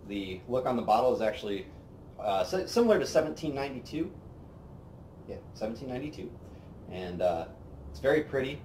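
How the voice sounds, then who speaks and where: A young man hosting a whiskey review, chatting casually on an outdoor patio, relaxed and rambling with plenty of fillers. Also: medium pitch, fairly quick, pauses often, slight background noise. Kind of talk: casual tasting chat. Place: outdoor patio by a brick wall. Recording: roomy outdoor sound with faint ambience.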